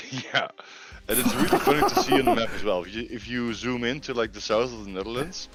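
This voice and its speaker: A man chatting casually and explaining things in a hesitant, halting way. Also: medium pitch, very animated, vocal fry. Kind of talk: casual chat. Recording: music behind the voice. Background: music.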